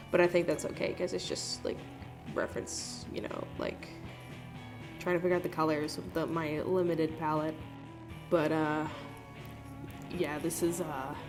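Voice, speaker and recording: A young woman with a low voice that sounds stuffed up and nasal, as if she has a cold. She rambles through a casual voice-over, full of fillers and cursing. Faint music plays under her phone-recorded voice. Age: young adult. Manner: rambling, chatty, lots of fillers and swearing. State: congested, nasal. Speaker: art vlogger.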